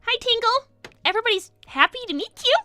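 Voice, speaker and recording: An excitable girl hedgehog from a cartoon, hosting a livestream. Her voice is piercingly high and sharp, recorded dry with music behind. She is talkative, dramatic and easily exasperated. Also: fairly quick, no room sound, exaggerated voice. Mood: friendly, chatty. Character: excitable, impatient young female cartoon hedgehog. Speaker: livestream host. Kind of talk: improvised in-character livestream roleplay.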